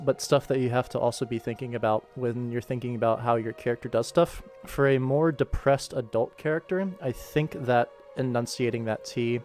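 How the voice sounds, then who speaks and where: A man talks casually as the host of an animation work stream. His pitch sits in the middle for a male voice, and he is chatty, detail-focused and self-deprecating. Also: a little mellow. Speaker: animation creator and livestream host. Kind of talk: casual livestream work commentary.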